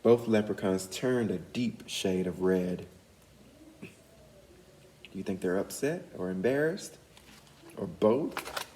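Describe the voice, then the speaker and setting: A man with a lowish voice reads a storybook to schoolchildren. He takes his time and puts questions to his young listeners. Some room noise sits behind him. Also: animated, slow pace, long pauses, dark tone. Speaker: educator reading aloud to schoolchildren. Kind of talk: storybook read-aloud.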